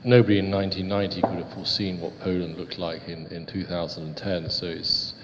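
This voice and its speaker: A middle-aged man offers unscripted remarks on a panel in a deep voice. He is heard through a handheld microphone in a reverberant hall with faint noise. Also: level tone, a little fast, dull audio. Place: panel table in brick-walled indoor hall.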